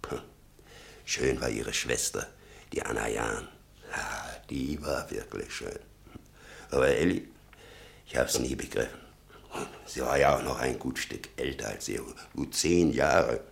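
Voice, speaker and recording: An actor playing an embittered old father in a German radio drama, gravelly, muttering and brooding with sudden outbursts. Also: extremely low pitch, a little slow, long pauses, heavily acted. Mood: brooding, regretful. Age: older. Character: embittered old father obsessed with his convicted son.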